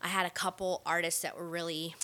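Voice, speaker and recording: Bright-voiced middle-aged woman telling stories casually. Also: lowish voice, little pitch movement, a little fast. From Costa Rica. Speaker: podcast guest, music manager. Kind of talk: casual conversational storytelling.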